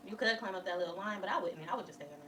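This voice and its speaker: A woman's voice: mid-range voice, rapid-fire, reverberant. A woman talking casually in conversation. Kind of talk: casual chat. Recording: distant mic amid loud chatter.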